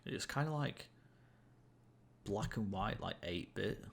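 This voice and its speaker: A man's voice: on the low side, animated, slow pace, creaky. A young man chatting casually to stream viewers while gaming, rambling and amused at himself, with many fillers and restarts. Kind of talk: casual gameplay chat to viewers.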